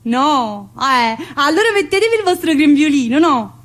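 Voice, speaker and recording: A woman's voice: high-pitched, big pitch swings, normal speed. A woman playing a cheeky, needling female prison inmate in a colloquial theatre monologue, with a southern Italian accent and a teasing, familiar manner. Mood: teasing, cajoling. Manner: teasing, familiar, full of rhetorical questions. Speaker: stage actress performing a monologue. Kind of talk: theatrical monologue in colloquial dialect-tinged speech.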